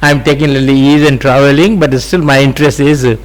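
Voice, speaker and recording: A man in his eighties, native Sindhi speaker with an Indian accent, telling his life story as an interview guest, halting with many fillers and gentle chuckles. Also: mid-range voice, steady tone, low-quality recording. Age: 80s.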